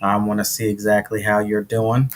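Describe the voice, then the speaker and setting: A man hosting a podcast interview, relaxed and encouraging, answering with frequent affirming murmurs. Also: fairly low pitch, normal speed. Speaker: podcast host.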